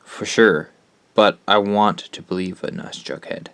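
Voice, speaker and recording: A man's voice: fairly low pitch, steady tone, measured pace, fairly bright tone, clean tone, no room sound. An actor playing an earnest teenage boy who leads and narrates for a group of survivors in an audio drama. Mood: sincere. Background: music behind the voice.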